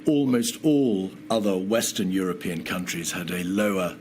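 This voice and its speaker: A British man speaking as a barrister in formal, measured, assertive legal questioning, on a noisy hearing-room recording. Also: deep voice, lively intonation, a little mellow. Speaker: inquiry barrister.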